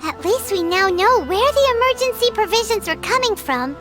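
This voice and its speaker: A tiny fairy sidekick from a video game, extremely high and childlike. The voice bounces and swoops with earnest, chirpy opinions, over clear music. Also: female voice, expressive, moderate pace. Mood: eager. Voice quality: squeaky, childlike. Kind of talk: English game voice acting.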